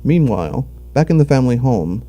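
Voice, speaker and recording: A man's voice: mid-range voice, very animated, brisk, dark tone. A man narrating a scripted audio drama, telling the action in the present tense.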